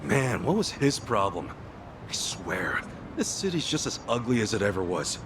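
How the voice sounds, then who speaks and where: An actor voicing a good-natured, brash street hero in a video game cutscene, casual, blunt and expressive. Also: male voice, lowish voice, normal speed, noisy background. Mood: grumbling.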